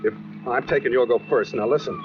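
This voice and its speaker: An actor playing a desperate, weary American fugitive with a gun, curt and threatening, in a muffled 1940s radio suspense drama. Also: male voice, medium pitch, wildly swooping pitch, fairly quick, noisy background. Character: desperate armed fugitive kidnapper, weary and menacing.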